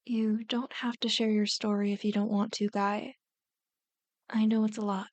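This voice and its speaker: A woman's voice: medium pitch, flat delivery, moderate pace, no room sound, natural voice. A woman playing a teasing, welcoming young non-binary person in a scripted audio roleplay, easygoing and fond of jokes. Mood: supportive. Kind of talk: scripted ASMR roleplay acting.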